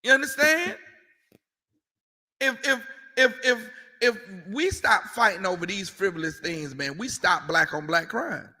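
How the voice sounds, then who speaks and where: A man's voice: sits high, lively intonation, measured pace, pushed and bright, big-room sound. A man giving a confrontational sermon as a street preacher, emphatic and repetitive, with mocking asides.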